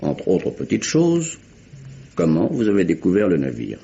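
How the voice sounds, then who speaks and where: Un acteur jouant un procureur général britannique des années 1870, pompeux et soupçonneux, à la diction formelle et précise, dans une dramatique radio policière française. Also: male voice, low voice, huge pitch swings, normal speed, vocal fry, mellow, heavily acted. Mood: probing, skeptical. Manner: formal, pompous, precise diction. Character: pompous, suspicious male British attorney general of the 1870s. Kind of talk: French radio mystery drama acting. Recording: dull, muffled vintage radio recording.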